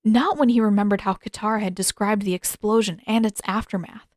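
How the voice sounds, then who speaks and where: A woman's voice: mid-range voice, steady tone, brisk, a little mellow. A woman narrates fiction in a mid-range voice. Her delivery is scripted storytelling with dramatic emphasis on key words. The recording is very dry, free of room echo. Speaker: audiobook-style fan fiction reader.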